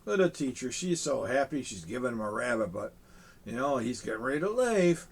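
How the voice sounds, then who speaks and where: A man reading a children's picture book aloud, with chuckling, animated asides to young listeners. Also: medium pitch, fairly quick, husky. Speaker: storyteller in a Santa persona.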